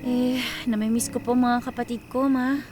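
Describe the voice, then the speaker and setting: A woman's voice: a little high, little pitch movement, normal speed, over music, close to an everyday voice. A woman playing a dutiful, earnest, wistful young college student in a radio drama. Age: young adult.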